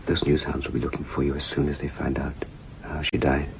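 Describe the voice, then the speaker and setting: A loyal, deferential aide in a radio drama. His voice is low, with a careful, hesitant, concerned manner and faint noise behind it. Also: monotone, fairly quick. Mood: subdued, concerned. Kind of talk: audio drama acting.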